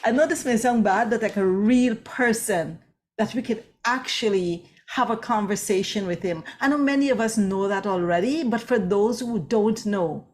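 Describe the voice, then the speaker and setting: A woman's voice: medium pitch, moderate pace, a little mellow, a little hiss or hum. A woman interviewing an author about her faith, emotional, laughing often and asking rambling questions.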